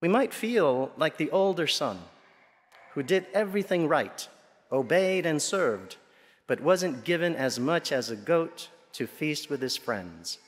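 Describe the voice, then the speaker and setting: A middle-aged man delivers a homily from the pulpit of a large church. His voice sits a little high for a man, and he speaks deliberately, pausing between phrases. The sound carries a long hall reverb. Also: expressive. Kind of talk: Sunday homily. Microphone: pulpit gooseneck and lapel microphone.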